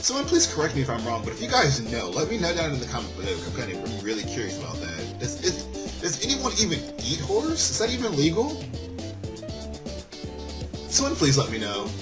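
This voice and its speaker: A man's voice: medium pitch, huge pitch swings, brisk. A man hosting a gaming video, reading a visual novel aloud and chatting jokily to viewers, stumbling here and there. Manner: jokey, stumbles while reading and addresses viewers. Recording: music playing under the voice. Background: music.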